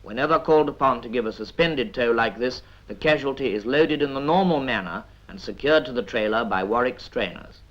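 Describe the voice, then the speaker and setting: A British man reads scripted 1950s military training film narration in a measured, instructive manner with dry asides. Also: medium pitch, a bit bright. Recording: dull vintage 1950s film soundtrack.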